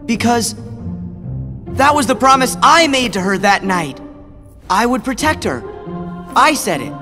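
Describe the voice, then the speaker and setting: A man's voice: extremely high pitch, very animated, a little slow, big-room sound, exaggerated voice. A young actor voicing a stubborn teenage boy hero who talks defensively and impatiently. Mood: accusing. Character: spiky-haired teen boy hero, stubborn and headstrong. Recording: game cutscene audio with music.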